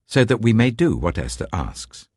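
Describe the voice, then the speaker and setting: A man's voice: lowish voice, big pitch swings, moderate pace, darkish tone, breathy edge, no room sound, clean studio sound, pitched above the performer's own voice. A British man voicing a regal, generous king in an audiobook, with a stately, commanding manner.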